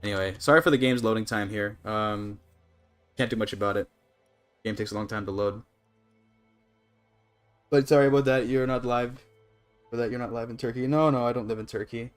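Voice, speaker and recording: Male game streamer, Canadian accent, average pitch, loose rambling apologetic delivery, music underneath. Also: steady tone, a little fast, pauses often, smooth. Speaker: live streamer. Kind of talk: casual gaming livestream chat.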